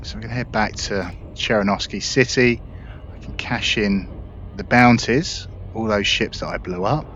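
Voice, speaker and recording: A man hosting a gaming video with casual, relaxed, explanatory commentary. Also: lowish voice, normal speed. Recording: slightly dull audio, faint game noise.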